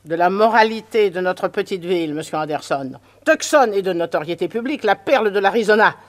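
A woman's voice: medium pitch, lively intonation, brisk, lightly acted. A woman playing a prudish, scolding old spinster neighbor in a French radio drama. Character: prim nosy old spinster, moralizing neighbor.

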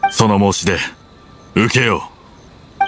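An actor playing a stern, proud samurai officer in an anime game, curt, commanding and coldly dismissive. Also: male voice, on the low side, slow pace. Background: game music.

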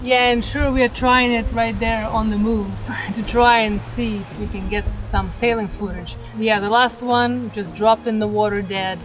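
A woman's voice: a little high, fairly even intonation, moderate pace, low-quality recording. A young woman co-hosting a sailing vlog, chatting casually and often laughing while out on a catamaran at sea. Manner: giggly, chatty.

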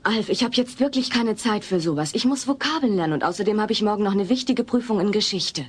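A woman playing a sharp-tongued, studious teenage daughter in a comedy audio play, with dry retorts. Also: mid-range voice, expressive, fast, slightly rough. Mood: annoyed.

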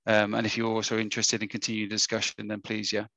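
A man with a husky, gravelly voice welcomes people to an online talk, pausing often with fillers and restarting his sentences. His tone is bright and fairly flat in pitch, and the sound is dry and close, as if on a call. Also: dry recording. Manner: hesitant, many fillers and restarts. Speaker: webinar host. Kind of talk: casual webinar welcome. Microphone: webcam or headset on a video call.

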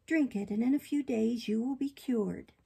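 A woman voicing a gentle motherly fairy from a storybook, loving and patient. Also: on the high side, normal speed, creaky, slightly rough.

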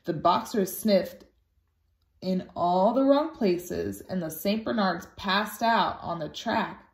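A woman reading a children's picture book aloud expressively and deliberately, as a storytime teacher. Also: mid-range voice, gravelly. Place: small room at home, indoors. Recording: roomy home recording.

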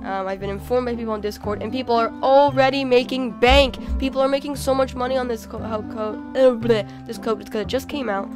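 A young woman with a cold talks over her gameplay, her voice hoarse and worn. She gets excitable, with lots of "oh my god" and "like" in casual commentary. Soft game music plays faintly underneath. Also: on the high side, a little fast, few pauses. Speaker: gaming channel host.

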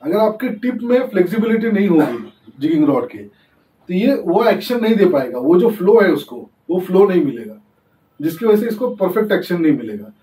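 High-voiced man teaching from a distance. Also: big pitch swings, normal speed, warm tone. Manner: explains while demonstrating, mixing in English terms. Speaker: fishing channel host. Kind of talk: casual tutorial demonstration. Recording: echoey, distant from the mic.

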